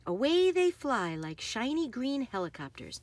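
A woman reads a children's picture book aloud expressively, with deliberate phrasing and emphasis on action words. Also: a little high, sing-song, slight background noise. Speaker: read-aloud host.

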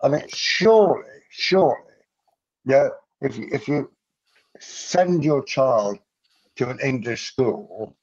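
A man on a panel call who talks haltingly and repeats his words. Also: medium pitch, lively intonation, a little mellow, husky. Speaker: panel guest. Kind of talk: casual political chat. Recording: muffled lo-fi call audio.

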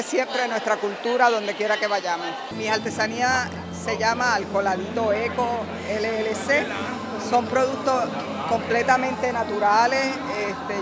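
A middle-aged woman, president of an artisans' association, speaking earnestly into a handheld mic at an indoor event, with many "eh" fillers. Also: a little high. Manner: earnest, filler-heavy with frequent "eh". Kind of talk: on-camera event interview. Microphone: handheld mic held close. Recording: loud crowd chatter behind.

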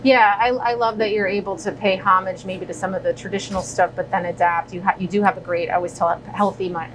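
Female interviewer, 40s, conversational and chatty, average pitch, lapel mic with slight room rumble. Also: fairly even intonation, quick pace, rough recording. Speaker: interviewer, host. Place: indoors in a deli, seated at table. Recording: faint background rumble and hum.

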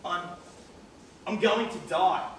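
A man's voice: high voice, fairly even intonation, slow, a bit bright, far from the mic. Man acting a cantankerous old man, stage play, blunt, sarcastic, very echoey, faint noise. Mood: gruff, resigned. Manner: sardonic, blunt, needling. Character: cantankerous, foul-mouthed, mocking old man in a care home. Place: theatre stage, indoors. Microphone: distant camera microphone.

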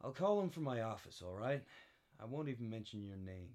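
A man playing a world-weary, wry private detective, deadpan, narrating a noir radio drama. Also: lowish voice, brisk, close to an everyday voice. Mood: curt, questioning. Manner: deadpan, hardboiled. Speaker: narrator and lead actor.

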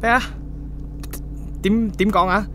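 An actor playing a chatty, earnest young adult son in a radio drama. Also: male voice, high voice, fairly even intonation, slow, noisy background. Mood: earnest, persuasive. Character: young adult son, chatty, earnest, nudging his father toward romance. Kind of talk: radio drama dialogue, conversational.

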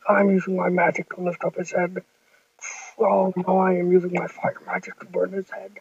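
A performer voicing a boastful male Jesus figure who threatens and fires magic beams, in a gruff, dropped voice on a dull lo-fi home recording. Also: medium pitch, monotone, moderate pace, a little mellow, no echo, far from the mic, pitched below the performer's own voice. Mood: menacing.

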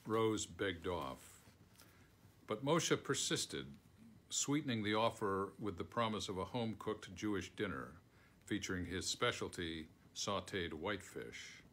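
Deep-voiced older American man reading aloud. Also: fairly bright tone. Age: older, around 70. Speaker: foreword author reading aloud. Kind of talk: reading a written foreword aloud. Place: book-lined room at home, indoors.

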